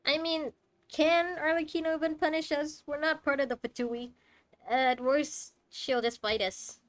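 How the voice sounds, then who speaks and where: A young woman streamer casually thinking out loud while playing a story game, correcting herself as she goes. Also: high voice, steady tone, measured pace, no room sound.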